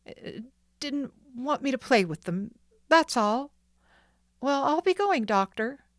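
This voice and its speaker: A woman's voice: sits high, expressive, slow pace, no echo, clean studio sound, pitched above the performer's own voice. A woman voicing a timid, hurt young boy in a storybook reading. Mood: hurried.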